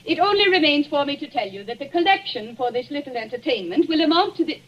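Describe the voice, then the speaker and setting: A woman's voice: high voice, lively intonation, quick pace. An actress playing a stately older lady addressing a meeting in a formal, declaiming 1940s radio manner. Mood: solemn. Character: stately older lady giving a public speech. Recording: dull old radio broadcast, heard from afar.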